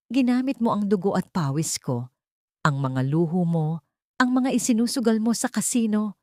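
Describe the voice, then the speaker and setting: A woman's voice: fairly low pitch, warm tone, no echo, clean studio sound, lightly acted. A woman voicing a dignified, wronged nanny who speaks firmly and accusingly in an audiobook drama. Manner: firm, accusing.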